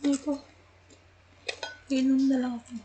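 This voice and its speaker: A woman's voice: high-pitched, little pitch movement, moderate pace, warm tone, husky. A woman with a Filipino accent chatting casually as she streams a video game.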